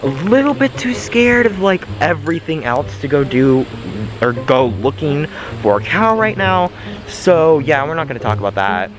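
A man's voice: a little high, big pitch swings, normal speed, low-quality recording. Jittery young man vlogging in woods. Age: young adult. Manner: jittery, melodramatic, easily spooked. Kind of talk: casual selfie vlog. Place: outdoors in sunny woods. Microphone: phone held at arm's length.

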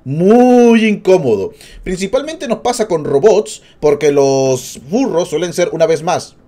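Mellow, higher-voiced man riffing. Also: animated, measured pace. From Peru. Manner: joking, rambling asides. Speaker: streamer reacting to a video. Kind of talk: casual reaction commentary.

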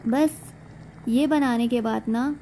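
Young woman, chatty vlog voice-over, filler-heavy, fairly high pitch, faint room hum. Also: takes it slowly, warm tone, poor audio. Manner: chatty, leans heavily on the filler "aur jo hai". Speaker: lifestyle vlogger. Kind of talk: casual voice-over narration of daily life.

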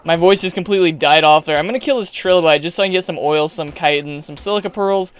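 High, smooth-voiced young gaming streamer. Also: male voice, fast talker. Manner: chatty, excitable, yells at creatures. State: hoarse, losing his voice. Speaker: gaming YouTuber. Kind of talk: casual gameplay commentary.

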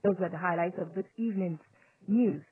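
A woman's voice: on the low side, brisk, husky, no room sound. A woman hosting a scripted radio news programme. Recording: tinny phone-like digital shortwave radio.

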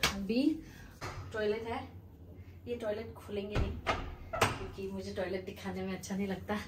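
A woman giving a casual, chatty home-tour vlog indoors, mixing in English words and phrases. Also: a little high. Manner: informal Hindi mixed with English words and phrases. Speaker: vlogger giving a house tour. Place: indoors in small rooms at home. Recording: distant mic with faint room noise.

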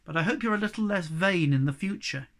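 A storyteller acts out a sulky young male creature from a storybook. He sounds grudging and defensive, protesting with lively swings of pitch. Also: fast talker, close to an everyday voice.

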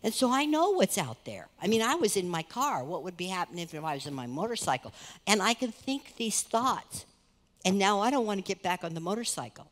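A middle-aged woman pastor preaching in a large church hall. She speaks earnestly and conversationally, and her pitch swings between lifted emphasis and quiet, low asides. Her voice carries a long reverb off the room around her. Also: brisk. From United States. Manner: earnest, conversational preaching with lively rises and soft drops. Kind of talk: sermon. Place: large church stage, indoors.

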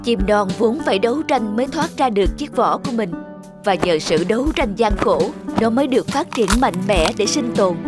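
An actor voicing a wise, authoritative mentor in a children's cartoon, speaking in a calm, measured, instructive way. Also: medium pitch, music in the background, close to an everyday voice. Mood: grave, lecturing. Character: calm, wise, authoritative fairy-tale mentor teaching a pupil. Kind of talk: animated children's fairy tale acting.

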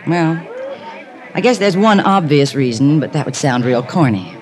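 World-weary, low-voiced party woman. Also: expressive, moderate pace, darkish tone, some chatter behind. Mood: wry, resigned. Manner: wry, resigned. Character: world-weary, self-mocking female party regular, drinking heavily. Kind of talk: 1950s radio drama acting. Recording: old 1950s radio recording with music.

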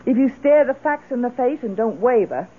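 An actress playing a self-assured, decisive, well-off middle-aged widow in a radio drama. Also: female voice, on the high side, lively intonation, normal speed. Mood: confident, reassuring. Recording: muffled old radio broadcast recording.

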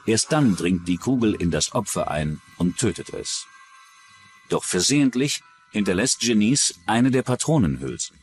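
A man narrating a scripted true-crime documentary in a measured, dramatic storytelling manner. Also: lowish voice, very animated, faint music behind.

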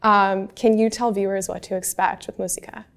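Young woman interviewer, bit of vocal fry, upbeat and keen. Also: mid-range voice, flat delivery, moderate pace. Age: young adult. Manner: upbeat, enthusiastic. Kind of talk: casual interview questions. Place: bright studio set, indoors. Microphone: small lapel mic.

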